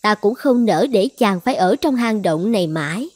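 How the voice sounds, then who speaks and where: A woman's voice: mid-range voice, expressive, normal speed, darkish tone, no room sound, natural voice. A woman voicing a possessive young island sorceress in a cartoon fairy tale, coaxing and threatening with commanding self-assurance. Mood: wary, indulgent. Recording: music behind the voice.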